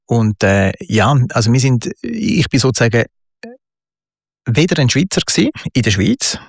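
A man's voice: mid-range voice, very animated, moderate pace, gravelly, no room sound, clean studio sound. A man with a Swiss German accent, a guest telling his life story in an interview, thoughtful and hesitant with many fillers. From Switzerland.

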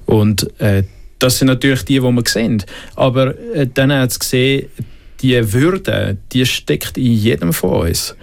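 A man's voice: mid-range voice, expressive, a little slow, vocal fry. A Swiss man with a Swiss German accent, thoughtfully discussing the Bible in a faith conversation, with many filler sounds and restarts. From Switzerland. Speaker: panel guest discussing the Bible.

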